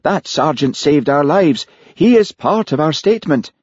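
Man doing a waspish indignant minister in an audiobook, pitch nudged up, husky. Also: very animated, normal speed, darkish tone, no room sound, muffled recording. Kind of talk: audiobook character voice.

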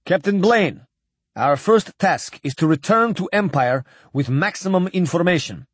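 A man playing a stern, cold admiral in an audiobook, speaking formally and flatly with unyielding authority. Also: high voice, unhurried, slightly rough, dry recording, voice pushed up, full character voice. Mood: cold, commanding. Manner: flat, formal, clipped diction. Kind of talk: audiobook character dialogue.

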